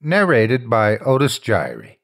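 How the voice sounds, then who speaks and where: A man in his sixties narrating a horror story in a theatrical, folksy manner with drawn-out suspenseful pauses. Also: mid-range voice, wildly swooping pitch, creaky, fairly bright tone. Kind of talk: dramatic scary-story narration.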